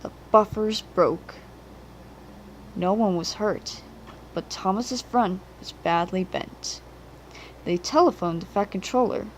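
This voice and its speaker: A woman's voice: medium pitch, slow, long pauses, a little hiss or hum, poor audio. A woman reading a children's story as a scripted narration, on an amateur home recording.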